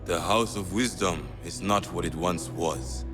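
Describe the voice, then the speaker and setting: Here is a cultured, poetic spymaster from a video game. He speaks in a measured, fairly low voice, with music clearly behind him. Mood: grave. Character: cultured, poetic male spymaster of assassins' bureau. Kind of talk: video game cutscene acting.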